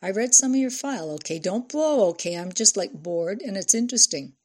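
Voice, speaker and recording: A woman's voice: mid-range voice, lively intonation, brisk, darkish tone, natural voice. A woman voicing a bored, defiant teenage girl in an audiobook, slangy and snappy. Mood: defensive.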